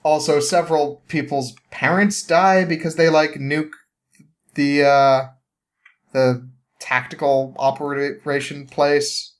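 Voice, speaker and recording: A man telling a story casually as a podcast guest, halting and rambling as he goes, with an amused manner. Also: fairly high pitch, steady tone.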